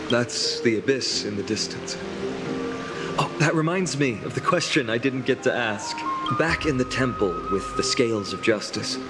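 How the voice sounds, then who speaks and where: An actor playing an earnest young male hero in a game, sincere and reflective, with quiet self-doubt. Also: medium pitch, expressive, normal speed, loud music behind the voice, lightly acted. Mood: pensive. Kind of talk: dramatic video game dialogue.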